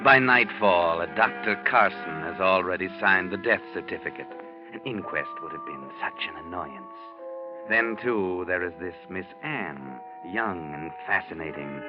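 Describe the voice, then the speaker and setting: An actor playing a sinister, all-knowing narrator, sardonic and insinuating, in a 1940s radio mystery. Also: male voice, deep voice, animated, measured pace. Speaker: host and narrator. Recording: muffled 1940s radio recording. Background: music.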